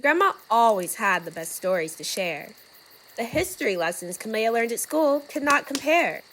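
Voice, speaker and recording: A woman reading a children's book aloud as expressive, rhythmic, dramatic storybook narration. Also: on the high side, normal speed, slight background noise, low-quality recording. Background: music.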